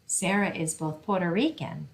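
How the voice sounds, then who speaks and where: A woman's voice: fairly low pitch, normal speed, creaky. A woman reading a picture book aloud and explaining things simply for children, as a librarian hosting story time.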